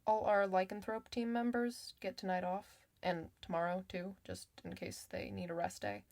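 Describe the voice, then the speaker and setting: A woman playing a kind clinician in an ASMR medical roleplay, soft-spoken, reassuring and chatty with the patient. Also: mid-range voice, moderate pace, gravelly, close to an everyday voice. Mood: calm, reassuring.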